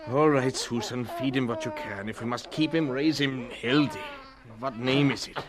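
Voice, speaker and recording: An actor playing a stern, miserly farmer in a radio drama, blunt and quick to exclaim and haggle. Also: male voice, mid-range voice, a crowd in the background, natural voice. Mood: grudging.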